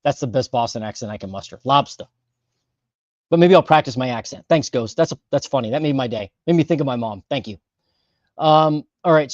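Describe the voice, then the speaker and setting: A man hosting a casual livestream, talking through housing data with lots of fillers and quick self-corrections. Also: on the high side, darkish tone, dry recording, muffled recording. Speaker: host of a housing market livestream.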